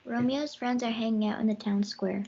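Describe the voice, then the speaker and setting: A woman's voice: a little high, fairly even intonation, normal speed, mellow. A young woman narrating a scripted amateur play. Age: teenager. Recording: dull lo-fi audio.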